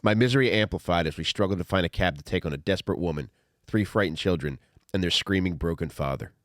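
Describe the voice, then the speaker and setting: A middle-aged man with a low voice talks in a blunt, conversational way, as if telling a comic memoir. The sound is close and dry, with very little room on it. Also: fast, no echo. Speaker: author reading own memoir and podcast host. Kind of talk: audiobook reading with casual comic asides.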